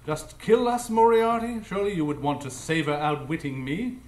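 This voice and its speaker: An actor playing a self-assured, haughty gentleman detective in a live radio drama. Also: a little high, normal speed, close to an everyday voice. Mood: challenging. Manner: haughty, challenging. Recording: echoey live stage, off-mic.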